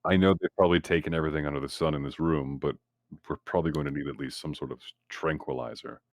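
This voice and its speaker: A man's voice: extremely low pitch, fairly even intonation, quick pace, dry recording, studio quality. A man playing a tabletop game, talking casually and wryly with the other players.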